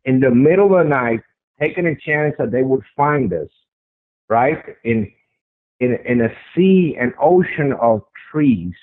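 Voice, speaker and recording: A man's voice: mid-range voice, animated, measured pace, no room sound. A man with a strong voice giving a first-person account in an interview, speaking conversationally and repeating phrases for emphasis. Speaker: interview guest recounting a personal sighting. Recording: tinny phone-like radio line.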